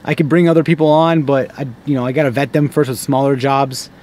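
A man's voice: mid-range voice, level tone, quick pace, a little mellow. A man in his thirties with an American accent, talking casually to camera in a rambling, stop-start way, as a contractor vlogging from a car. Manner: rambling, with frequent false starts. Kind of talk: casual unscripted vlog talk. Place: inside a parked car.

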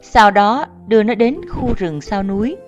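A woman narrating an animated children's story from a script. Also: mid-range voice, measured pace. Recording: muffled audio under music.

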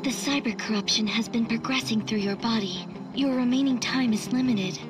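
A woman voicing a cool, analytical artificial intelligence girl in a video game, speaking in a measured, formal, detached way. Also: a little high, music in the background, natural voice. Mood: cool, informative.

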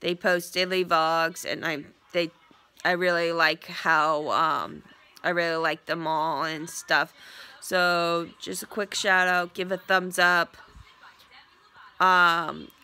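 A woman's voice: fairly low pitch, pushed and bright, clean tone. Stuffy-nosed young woman rambling on a phone. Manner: rambling, many ums and restarts. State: stuffy, congested from a sinus infection. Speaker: vlogger. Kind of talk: casual vlog update. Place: small living room indoors, on a couch. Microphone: phone front camera at arm's length.

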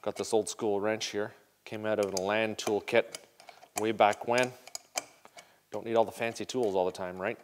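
A man in his forties runs a casual how-to demo in an indoor garage workshop. He talks straight to the viewers in an upbeat, easygoing way, recorded on a lapel mic. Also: medium pitch. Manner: upbeat, direct, talks to viewers as "you guys". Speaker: show host demonstrating snowmobile parts.

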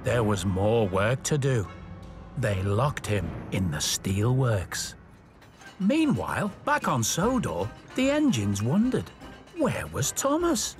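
Male children's narrator, unhurried, hugely varied intonation, over music. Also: mid-range voice. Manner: expressive, dramatic storytelling. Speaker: storybook narrator. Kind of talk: scripted children's story narration.

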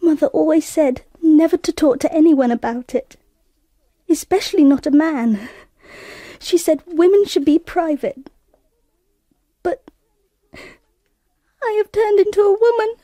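An actress playing a sensitive girl on the edge of womanhood in a radio drama, with hushed, intimate, wistful delivery. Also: very high voice, expressive, a little slow, long pauses. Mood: shy, tearful. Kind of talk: radio drama, first-person narration.

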